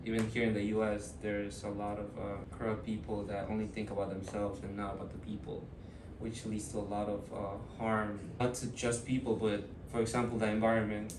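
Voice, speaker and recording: A young man in his early twenties from Ecuador, answering interview questions in a thoughtful, hesitant way with many pauses and fillers. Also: fairly low pitch, completely flat delivery, echoey room, distant mic, background noise. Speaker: student interviewee.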